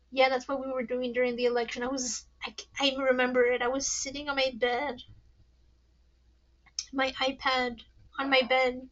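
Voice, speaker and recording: A woman's voice: high-pitched, a bit bright, highs cut off. A young adult woman with a young-sounding voice, hosting a casual solo podcast, rambling and nervous, swearing often and laughing at herself. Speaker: podcast host.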